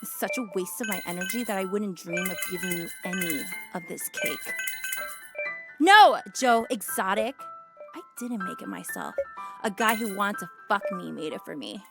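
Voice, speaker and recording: A woman's voice: mid-range voice, big pitch swings, moderate pace, vocal fry, loud music behind the voice. A young woman playing a brash, hustling webcam dominatrix in a comedic audio drama, sassy, casual and bossy. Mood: bossy, taunting.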